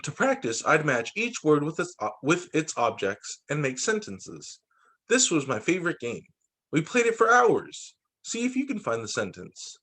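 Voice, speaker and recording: A man reading a picture book aloud to children as a teacher, in an expressive, dramatizing way. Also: on the high side, normal speed, husky. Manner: expressive, dramatizing quoted lines.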